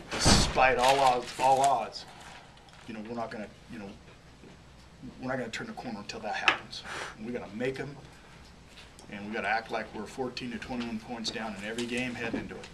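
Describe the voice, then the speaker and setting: A man in his forties, a head football coach answering questions at a postgame press conference, often restarting and repeating words as he searches for phrases. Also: medium pitch. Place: small press room, in front of a logo backdrop. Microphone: table microphone on a stand. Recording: distant mic, audible room noise.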